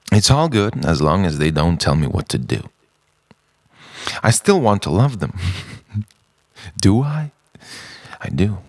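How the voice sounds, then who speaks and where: A man delivers a spoken-word monologue slowly and theatrically, as if thinking aloud. His voice is lowish with a gravelly fry and swings widely in pitch. The manner is brooding and eccentric. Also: fairly bright tone, no room sound. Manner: dramatic, brooding, swinging between murmurs and eccentric outbursts. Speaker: writer-performer of a personal monologue.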